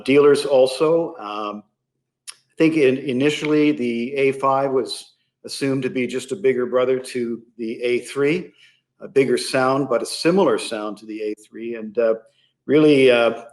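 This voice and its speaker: A man with a mid-pitched voice gives explanatory product talk as an interview guest. His delivery is measured, and he often pauses partway through a sentence to find the next phrase. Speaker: interview guest, loudspeaker company sales executive.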